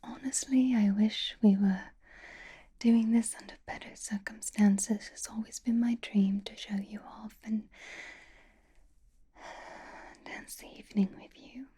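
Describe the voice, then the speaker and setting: A woman voicing a doting, possessive, elegant vampire in an intimate, coaxing, breathy whisper for an ASMR roleplay. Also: on the high side, fairly even intonation, dark tone. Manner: intimate, coaxing, slow and genteel.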